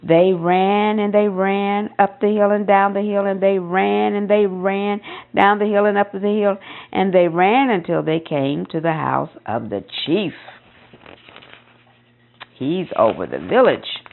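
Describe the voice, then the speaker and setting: A woman reading a children's picture book aloud in an expressive storytelling style, with emphasis on repeated phrases. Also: mid-range voice, measured pace, gravelly, narrow, tinny audio. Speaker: storybook reader.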